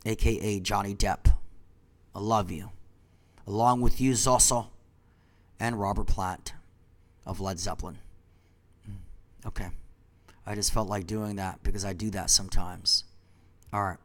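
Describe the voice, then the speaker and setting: A congested man who founded a company, talking in a rambling monologue that trails off into quiet asides. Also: medium pitch. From Washington, D.C. area.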